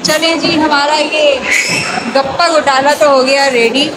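A woman's voice: high voice, steady tone, measured pace. A middle-aged woman, chatting casually to her phone and often repeating phrases. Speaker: vlogger. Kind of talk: casual family vlog chat. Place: busy indoor shopping mall and lift. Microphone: handheld phone at arm's length. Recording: noisy crowded mall, loud background din.

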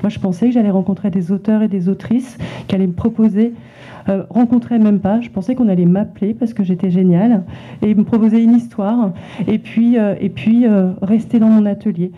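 A woman's voice: mid-range voice, steady tone, brisk. Woman illustrator chatting at a library event, lots of restarts, thin tinny sound. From France. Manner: hesitant, many repetitions and restarts. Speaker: illustrator and author at a library talk. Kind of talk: public talk, presenting her work. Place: library event room with small audience. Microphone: handheld microphone passed around.

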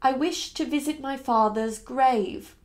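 A woman's voice: very high-pitched, animated, brisk, voice pushed up. A woman voicing a polite, resolute, well-bred Victorian young lady with a formal way of speaking in an audiobook. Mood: patient, firm.

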